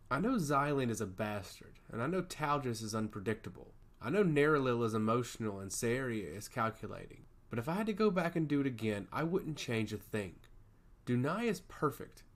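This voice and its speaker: A man voicing a charming god of chaos in a fantasy story, speaking with calm, theatrical confidence. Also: mid-range voice.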